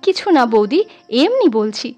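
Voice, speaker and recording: Woman reading as an earnest, troubled young man, high-pitched. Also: big pitch swings, unhurried, dark tone, faint music behind, full character voice. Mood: choked, evasive. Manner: earnest, hesitant, emotional.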